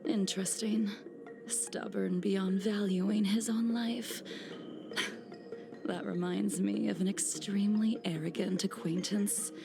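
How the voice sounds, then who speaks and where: A woman voicing a calm, haughty, cryptic swordswoman in a video game, with soft breathy, measured delivery. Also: medium pitch. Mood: cool, aloof. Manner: slow, measured, disdainful. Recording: background music under the voice.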